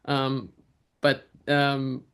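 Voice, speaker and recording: Reflective woman guest with a gravelly, fry-edged voice, talking it through unscripted. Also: very deep voice, monotone, extremely slow. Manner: reflective, with frequent restarts. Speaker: guest, actor and writer. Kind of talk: conversational interview answers.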